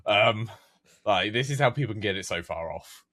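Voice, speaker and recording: A young man of nineteen speaks in a deep, low voice as a podcast guest. He chats casually and wanders through his thoughts, with lots of fillers and restarts. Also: lively intonation, normal speed, fairly bright tone. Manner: rambling, many fillers and restarts. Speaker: podcast guest, game map creator. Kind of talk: casual chat.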